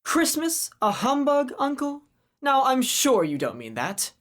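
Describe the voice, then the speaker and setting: An actor playing a cheerful, earnest young nephew who pleads warmly with his uncle. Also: very high-pitched, huge pitch swings, unhurried, heavily acted. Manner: warm, pleading, upbeat.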